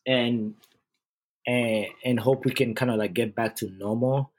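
Husky-voiced man from Uganda. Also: medium pitch, fairly even intonation, a little slow. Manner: earnest, repeats and restarts phrases. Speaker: youth sports coach. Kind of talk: casual podcast monologue.

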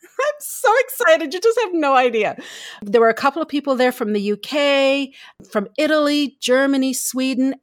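A woman from Canada co-hosting a podcast, talking in a casual, chatty way and laughing easily. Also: on the high side. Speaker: podcast co-host, story editor.

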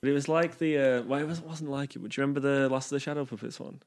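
A man's voice: medium pitch, steady tone, racing. A man co-hosting a podcast, joking and laughing in casual chat. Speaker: podcast co-host.

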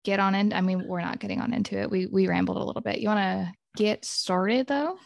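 A woman's voice: mid-range voice, steady tone, a little fast, gravelly, no echo, highs cut off. A woman who sounds congested, co-hosting a podcast in a chatty, giggly, rambling way. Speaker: podcast co-host. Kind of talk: casual chat.

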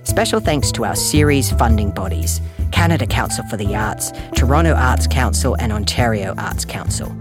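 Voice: medium pitch, animated, normal speed. A podcast host reading scripted credits and announcements in a friendly manner, addressing listeners directly. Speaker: podcast host and series creator. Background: music.

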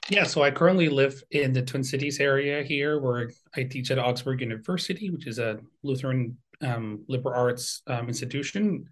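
A man's voice: mid-range voice, normal speed, hardly any pauses. A man from Venezuela, around his forties, speaking reflectively as an interview guest and often repeating words as he thinks. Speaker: composer and university music teacher, guest.

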